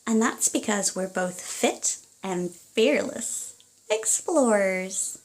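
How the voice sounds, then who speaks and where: A woman's voice: medium pitch, expressive, takes it slowly, low-quality recording. A woman voicing a brave young girl mouse in a storybook, bold and reassuring.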